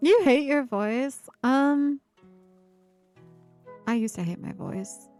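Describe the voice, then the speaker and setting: A woman over twenty-five chatting casually on a live stream, with a breathy, giggly laugh and lots of fillers. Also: high-pitched, expressive, takes it slowly, a little mellow, soft background music.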